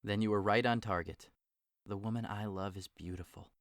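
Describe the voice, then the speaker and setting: A man's voice: fairly low pitch, steady tone, normal speed, no echo, close to an everyday voice. Man voicing a lovesick young man, melancholy, sighing, dry close studio sound. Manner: sighing, wistful, swings into passionate outbursts. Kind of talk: audio drama acting.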